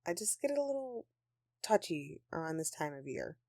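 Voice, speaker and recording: A woman's voice: sits low, sing-song, normal speed, warm tone, no echo, studio quality, heavily acted. A woman playing a grumpy but affectionate Christmas elf in an intimate audio roleplay, with a sarcastic, dramatic, sighing delivery. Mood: sheepish, tender.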